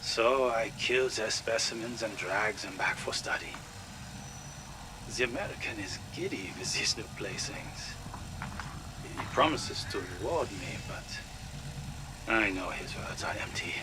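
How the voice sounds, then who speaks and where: A man playing a captured sergeant turned monster hunter, dry and resigned in a game audio log. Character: captured German male sergeant, cynical expendable monster hunter. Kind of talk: dramatic audio log acting. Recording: noisy audio log with engine-like rumble.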